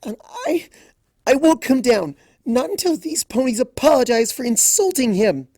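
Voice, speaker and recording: Shrill, upset young pony acted by one reader. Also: female voice, lively intonation, moderate pace, no echo, studio quality. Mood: angry pleading. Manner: shrill, pleading, emphatic shouting. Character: shy young female pegasus pony, upset and fiercely protective.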